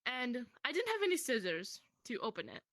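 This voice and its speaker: A young woman casually telling a personal story to a friend in a rambling, animated way, swearing freely. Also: fairly high pitch, fairly quick, dry recording, clean studio sound.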